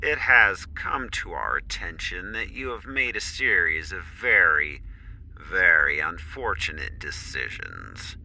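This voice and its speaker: An actor playing a pompous, menacing councillor in an audio drama, announcing threats with drawn-out, theatrical emphasis. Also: male voice, low voice, sing-song, vocal fry, bright, forceful tone, heavily acted.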